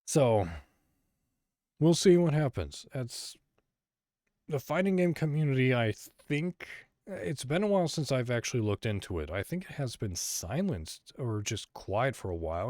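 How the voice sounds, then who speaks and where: A man's voice: medium pitch, a little mellow, no echo, clean studio sound. A man from Illinois hosting a solo podcast, talking casually and rambling with frequent fillers and restarts. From Illinois, USA. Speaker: podcast host.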